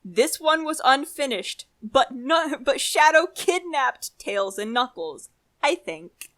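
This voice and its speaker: A woman's voice: sits high, animated, slow pace, a bit bright. A woman co-host chatting casually and informally, with a giggly manner. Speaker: podcast co-host. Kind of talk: casual chat.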